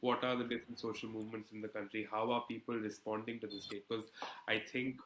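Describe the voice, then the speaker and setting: A man's voice: lowish voice, little pitch movement, fast, fairly bright tone, a little hiss or hum. A man in an interview explaining his work as an editor in an articulate, self-deprecating, conversational way. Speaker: politics editor being interviewed. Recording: echoey, roomy sound.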